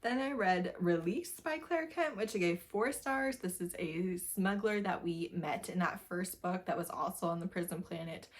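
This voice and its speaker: A woman giving a casual book review in a giggly, gushing way, often repeating words for emphasis. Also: fairly low pitch. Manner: giggly, laughs often while talking. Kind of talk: casual monthly reading wrap-up chat.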